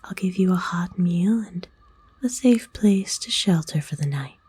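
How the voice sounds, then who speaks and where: A woman acts out a kind witch of the woods for an ASMR roleplay. Her voice is a soft, extremely breathy whisper, mellow in tone and a little low. She speaks in a soothing, gently old-fashioned and playful way, like a caring host. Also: animated, dry recording, exaggerated voice. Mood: soothing, sympathetic. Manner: soothing, folksy, unhurried. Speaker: ASMR voice actor.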